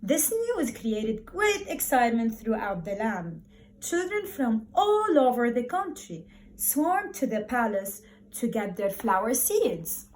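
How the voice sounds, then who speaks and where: A woman primary school teacher speaking to young children in an encouraging, expressive way, repeating words for emphasis as she talks and reads aloud. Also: on the high side, slow, a little hiss or hum, rough recording.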